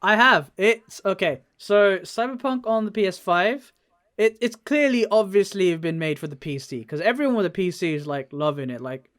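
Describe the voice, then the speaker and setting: A man's voice: high voice, expressive, normal speed, fairly bright tone. A man co-hosting a gaming podcast, chatting casually in an informal, slangy way. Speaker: podcast host.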